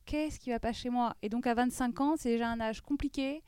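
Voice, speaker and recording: A woman's voice: fairly high pitch, steady tone, fairly quick, mellow, smooth, no echo, studio quality. A woman in her thirties telling a personal story as an interview guest, hesitant with frequent restarts and fillers.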